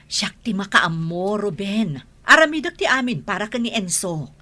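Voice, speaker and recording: A woman's voice: medium pitch, sing-song, normal speed, bright, forceful tone. A woman voicing a doting, defensive village mother who shields her spoiled son in a radio drama. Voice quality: overprotective, wailing in grief. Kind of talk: Ilocano radio-drama acting.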